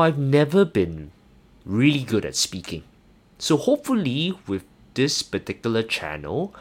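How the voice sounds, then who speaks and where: A young man with a Singaporean accent hosting a solo personal finance podcast, earnest and measured, with occasional hesitant fillers. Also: medium pitch, fairly bright tone. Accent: Singaporean English. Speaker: podcast host.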